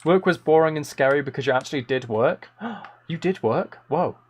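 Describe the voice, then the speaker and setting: A man's voice: a little high, animated, normal speed, husky. Man chatting casually on a live stream. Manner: rambling, playful, thinking aloud. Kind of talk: casual chat while playing a game live.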